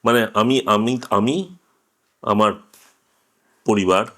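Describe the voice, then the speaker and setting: A man interviewing someone, making his argument conversationally and haltingly, in short fragments. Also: fairly low pitch, a bit bright.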